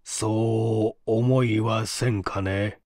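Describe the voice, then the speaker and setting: A man voicing a sickly, suspicious rich old man with a gravelly, slurred voice and a halting, nagging manner. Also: lowish voice, fairly even intonation, darkish tone, no room sound, studio quality, pitched below the performer's own voice. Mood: suspicious, laboring. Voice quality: gravelly, slurred, thick-tongued. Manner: halting, labored, clinging.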